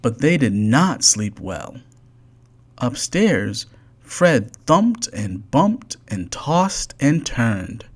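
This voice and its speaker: A storyteller reading a children's storybook aloud in a deliberate, expressive way, with a medium-pitched voice. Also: breathy edge. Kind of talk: scripted children's storybook narration.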